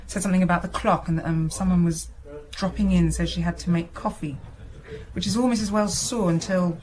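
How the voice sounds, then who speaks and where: An actress playing a businesslike police sergeant in a radio drama, reporting evidence plainly and a little tentatively. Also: female voice, on the low side, steady tone, a little fast, darkish tone, natural voice, poor audio. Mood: matter-of-fact. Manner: matter-of-fact, slightly tentative. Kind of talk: naturalistic radio drama dialogue.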